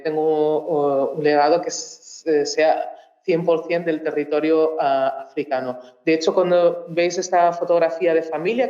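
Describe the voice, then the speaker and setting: A woman from Mozambique whose first language is Portuguese speaks at a conference in a university auditorium. She searches for her words, pausing and restarting often as she thinks aloud. The sound is roomy and echoing, a bit muffled and dull. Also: fairly low pitch, warm tone. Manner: hesitant, thinks aloud with frequent pauses and restarts. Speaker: researcher and panel speaker. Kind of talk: public talk at a conference.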